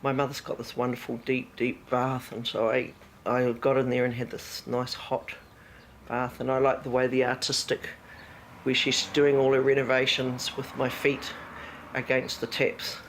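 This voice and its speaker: A man describing something in a relaxed, rambling way with fillers. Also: mid-range voice, moderate pace, gravelly, slight background noise. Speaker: project participant. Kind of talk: interview reflection.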